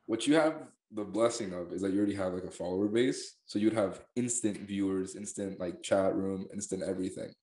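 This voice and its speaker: A man's voice: sits low, fairly quick, hardly any pauses, a little mellow, echoey room. A young man hosting a podcast in casual chat, laid-back, swearing and laughing easily. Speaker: podcast host.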